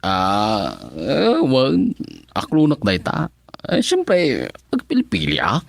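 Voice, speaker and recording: A comic village suitor with an Ilocano accent in a radio drama. He flirts sheepishly with unhurried, swooping delivery that breaks into stammers when he is flustered. The sound is close and dry. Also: mid-range voice, huge pitch swings, vocal fry, dry recording, full character voice. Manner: exaggerated sweet-talk, stammering when flustered. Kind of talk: Filipino radio comedy drama acting.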